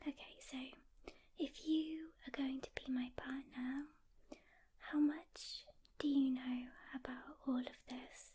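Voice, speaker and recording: A woman playing a reluctant loner demon hunter in an ASMR roleplay, soft-spoken and whispering, with a casual, halting delivery. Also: high-pitched, fairly even intonation. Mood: doubtful, relenting. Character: seasoned female demon hunter, reluctant loner, guarded but caring.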